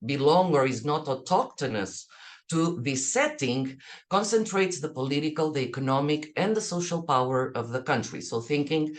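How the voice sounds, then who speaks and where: A woman's voice: sits low, lively intonation, normal speed. Portuguese woman presenting academic research. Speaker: academic researcher, keynote speaker. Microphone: video call.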